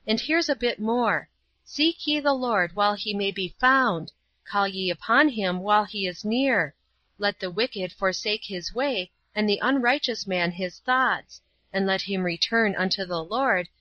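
A woman's voice: medium pitch, pushed and bright, no echo, muffled recording. A woman voicing a devout woman who firmly reassures a sinner with scripture. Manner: firm, reassuring.

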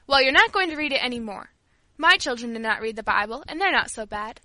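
A woman voicing a stern, quick-tempered father in an audiobook, with a firm, commanding manner. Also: a little high, lively intonation, bright, forceful tone, dry recording, studio quality.

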